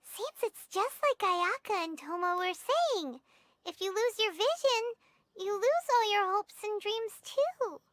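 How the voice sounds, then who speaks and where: Super high squeaky little game fairy, chirpy n chatty, bouncy pitch all over. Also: female voice, animated, measured pace, a bit bright, no room sound, full character voice. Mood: sympathetic. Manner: chirpy, refers to self in third person. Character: tiny childlike floating fairy companion, excitable and chatty. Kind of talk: video game voice acting.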